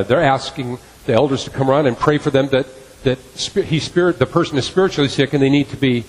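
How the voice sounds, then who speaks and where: Earnest preacher in a reverberant hall. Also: male voice, medium pitch, a little mellow. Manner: earnest, repeats key phrases for emphasis. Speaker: pastor. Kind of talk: sermon. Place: church sanctuary. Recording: long reverb of a church hall.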